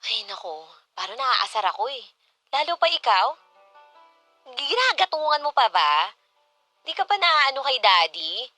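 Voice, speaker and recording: A woman's voice: sits high, sing-song, a little slow, long pauses, pushed and bright, dry recording, soft background music, exaggerated voice. A woman with a Filipino accent playing a jealous, sulky grown daughter in a radio drama. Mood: sulky, annoyed. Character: grown daughter, jealous and sulky, protective of late father's memory.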